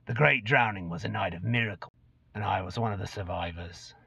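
A man's voice: on the low side, a little fast, vocal fry, breathy edge, full character voice. A man voicing an ancient, gaunt, cursed goblin, a brooding and unrepentant former killer, in a dramatic reading of a fantasy tale. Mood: solemn, reminiscing. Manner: brooding storyteller recounting dark deeds. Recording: dull lo-fi recording in echoey room.

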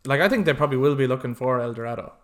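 A man's voice: mid-range voice, very fast. A man hosting a podcast in casual, opinionated chat, talking emphatically and swearing freely. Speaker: podcast host.

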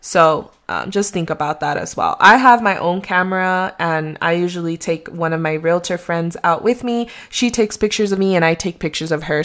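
A woman's voice: low voice, fairly bright tone. A young adult woman with a slight Mexican accent, a real estate agent giving rambling, conversational advice on her solo podcast.

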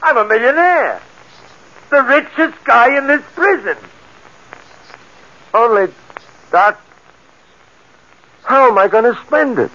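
An actor playing a hysterical small-time convict and bank robber in a 1940s radio drama, talking in rough underworld slang. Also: male voice, extremely high pitch, lively intonation, takes it slowly, long pauses, bright, forceful tone, heavily acted. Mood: excited, bewildered. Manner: rough slangy underworld talk, breaking into manic laughter. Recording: dull lo-fi old radio recording.

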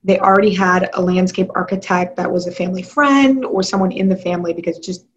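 A woman's voice: lowish voice, fairly even intonation, fast, lo-fi sound. A woman hosting an interview, asking questions and laughing along with her guest. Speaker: interview host. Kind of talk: casual interview conversation.

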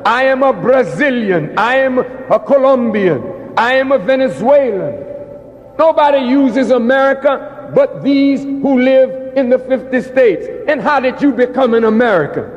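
A man giving a public lecture like a preacher, deliberate and emphatic, with repeated phrases and rhetorical questions. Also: very high voice, animated. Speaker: preacher and orator. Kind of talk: public lecture, oratory to an audience. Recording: dull, reverberant hall recording.

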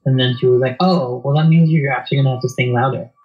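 A soft-spoken man, talking reflectively as an interview guest, hesitant with many fillers and restarts. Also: medium pitch, fairly even intonation, fast, muffled recording.

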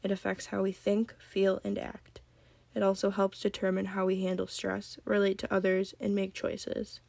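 A young woman with a slight cold hosting a solo podcast, talking calmly and gently. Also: medium pitch, moderate pace, creaky, dry recording. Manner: calm, gentle, reflective. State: has a cold. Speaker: podcast host. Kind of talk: solo podcast talk on mental health.

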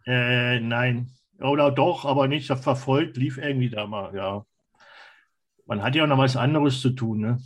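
Regular mid-pitched guy on a podcast panel, chatting casually. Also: moderate pace. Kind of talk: casual chat.

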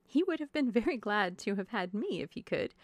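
A woman playing a silly, chatty young married gentlewoman in a classic novel reading, effusive and exclamatory. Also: a little high, very animated, a little fast, no room sound. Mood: chatty, complacent. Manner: effusive, exclamatory, prone to giggling. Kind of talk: classic novel audiobook dialogue.

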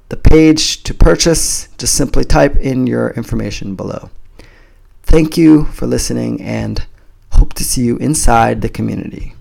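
American guy, very gravelly low fry in his voice, earnest measured promo talk. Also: a little mellow. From United States. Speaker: community founder pitching a program. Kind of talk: scripted promotional talk to camera. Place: home in a Japanese coastal town.

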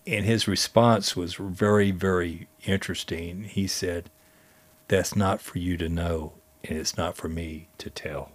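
A man telling stories conversationally as a guest, speaking in a halting way with frequent pauses. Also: low-pitched, steady tone. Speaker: guest storyteller and history teacher. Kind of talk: conversational storytelling.

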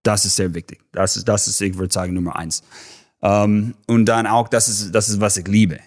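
A man from Texas with an American accent, a football coach being interviewed on a podcast, speaking halting German with English words mixed in and many fillers. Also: fairly low pitch, flat delivery, a little mellow. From Texas, USA. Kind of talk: casual interview.

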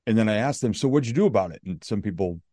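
Upbeat, chatty American podcast host. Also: male voice, on the low side, animated, fast talker, warm tone, no room sound, studio quality. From United States. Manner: enthusiastic, chatty. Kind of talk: upbeat interview hosting.

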